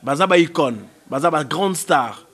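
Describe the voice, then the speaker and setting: A man's voice: on the high side, animated, normal speed, fairly bright tone, slightly rough. A man with a Congolese accent, presenting a studio TV interview and pressing his guest with questions.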